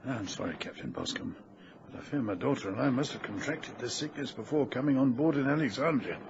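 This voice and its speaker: A man's voice: medium pitch, a little fast, creaky, lightly acted. An actor playing an ailing, anxious titled father with a formal, dramatic manner in an old radio drama. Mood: weak, apologetic. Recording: muffled, noisy vintage radio recording.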